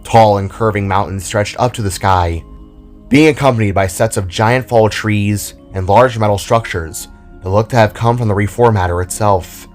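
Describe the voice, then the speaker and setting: A man's voice: fairly low pitch, moderate pace, faint music behind. A man reading scripted fantasy fiction as a storyteller. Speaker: narrator. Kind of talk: scripted story narration with character voices.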